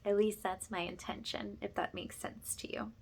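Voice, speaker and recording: A soft-spoken woman leading an ASMR guided meditation in a gentle, informal, instructive way, slipping at times into a whispery tone. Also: medium pitch, level tone, normal speed, creaky, breathy edge. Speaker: ASMR energy healing host and guide.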